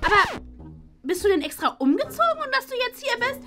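A young woman playing Chanel, a dramatic schoolgirl who complains loudly. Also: very high voice, sing-song, brisk, over music. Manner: whiny, dramatic. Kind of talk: scripted web series acting.

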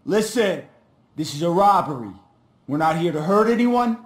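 An actor playing a cool, commanding bank robber in a video game, with an American accent. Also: male voice, high voice, expressive, normal speed. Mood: firm. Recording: roomy echo.

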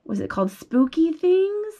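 A young mother reading a picture book aloud to her toddler at bedtime, slow and sing-song, asking coaxing questions about the pictures. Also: very high voice, very animated, dark tone. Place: child's bedroom at home. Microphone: phone held above the reader's lap.

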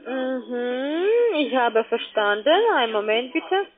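A woman from Romania, speaking halting as a call centre agent on the telephone. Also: a little high, soft background music. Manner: halting, non-native German. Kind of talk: sales phone call. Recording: tinny narrowband phone line.

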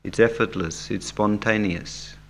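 A man leading a guided meditation as an instructor, speaking in measured, soothing short phrases with long pauses. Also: fairly low pitch, fairly even intonation, gravelly, poor audio. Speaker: yoga nidra meditation instructor. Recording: background music under the voice. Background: music.

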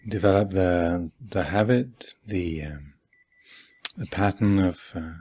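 Low, husky man guiding meditation slowly. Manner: calm, measured, deliberate with long pauses. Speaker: meditation teacher. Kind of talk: guided meditation instruction. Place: meditation hall at a retreat.

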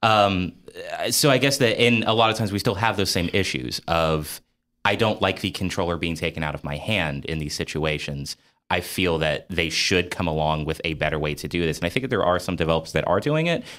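A man's voice: low voice, fairly bright tone. A man hosting a casual podcast discussion about games, talking in a hesitant, wordy, circling way. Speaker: podcast host.

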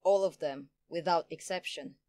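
A woman's voice: deep voice, moderate pace, warm tone, no echo. Woman acting a cool, unruffled female investigator, close and dry. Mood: cool, probing. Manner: coolly casual, probing. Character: cool, inquisitive woman time traveller, unruffled. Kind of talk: audio drama acting.

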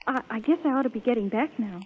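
Casting: actress as gentle ingénue, high and melodic; tinny vintage radio play. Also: female voice, fast, lightly acted. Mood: shy, tender. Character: sweet, modest young carnival girl. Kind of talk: 1930s radio romance acting. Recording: tinny, noisy old radio recording.

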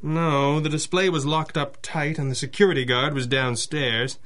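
An actor playing a dry, mysterious masked crimefighter boss, speaking in measured deadpan in a 1930s-style radio adventure. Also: male voice, fairly high pitch, natural voice. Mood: dry, businesslike.

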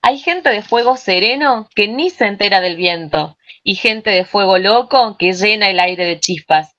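Muffled video-call audio carries a middle-aged woman at a medium pitch. She presents to a workshop and reads aloud. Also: expressive, measured pace, a bit bright. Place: indoor room. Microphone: computer mic on a video call.

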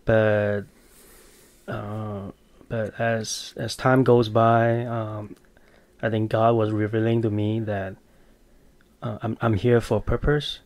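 A man, answering interview questions in a thoughtful, halting, earnest way. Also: fairly low pitch, flat delivery, darkish tone. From Malaysia. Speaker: podcast guest. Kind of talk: casual interview.

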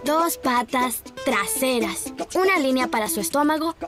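A woman's voice: high voice, lively intonation, unhurried. A woman voicing a cheerful little boy rabbit in a cartoon, patiently explaining how to draw step by step. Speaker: narrator teaching drawing. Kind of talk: cartoon dub, children's drawing lesson. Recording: studio voice over loud music.

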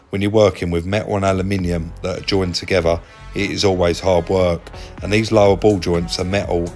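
A British man chatting casually and explaining car repair work to viewers in a matey, colloquial way, as co-host of a vlog. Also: sits low.

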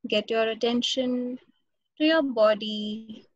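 A woman meditation teacher with an Indian accent speaks in a fairly high voice. Her instructions come slowly, separated by long pauses. The sound is dry and a little dull, as if over a webinar call. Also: dry recording. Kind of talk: guided meditation. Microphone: video call. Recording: dull, muffled webinar audio.

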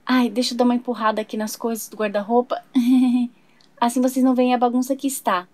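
A woman's voice: fairly high pitch, level tone, quick pace, darkish tone, no room sound. A woman narrating a doll's day in a sweet, chatty way, talking to viewers as she plays. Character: chatty young woman doll, fashion-loving. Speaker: toy channel narrator voicing a doll. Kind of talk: doll play narration.

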